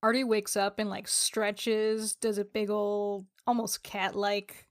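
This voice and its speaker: A woman's voice: medium pitch, flat delivery, slow, no echo, clean studio sound. A woman role-playing casually and playfully as a player in a tabletop game.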